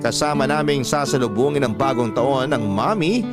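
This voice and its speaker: A man's voice: mid-range voice, lively intonation, moderate pace. A man reading a listener's letter aloud in an earnest, first-person storytelling voice for a radio show. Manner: earnest storytelling, addresses the host by name. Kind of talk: scripted first-person narration. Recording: dry studio sound with music bed.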